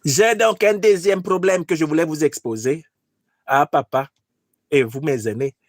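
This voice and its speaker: Casting: male performer as sheepish army strongman turned president, insecure, halting, pleading, political satire, dry close recording. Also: on the high side, no echo. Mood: aggrieved. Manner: halting, repeats phrases.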